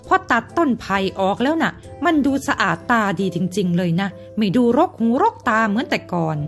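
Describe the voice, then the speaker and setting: A woman's voice: sing-song, normal speed, a bit bright, faint music behind. A woman voicing a spoiled young man, the garden owner's son, in a haughty exclaiming voice in a bedtime storybook. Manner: haughty, exclaiming, high-pitched.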